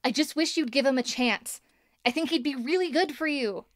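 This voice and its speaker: A woman's voice: high-pitched, moderate pace, no echo, natural voice. A woman playing an earnest, meddling young friend in an audio drama, with an insistent manner. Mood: persuasive. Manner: eager, insistent. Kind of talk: modern audio drama acting.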